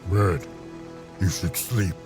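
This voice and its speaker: A man playing a gruff, blunt half-ogre ex-pirate in a fantasy audio drama with music behind. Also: sits low, fairly even intonation, slow. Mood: gruff assurance. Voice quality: grunting, gruff.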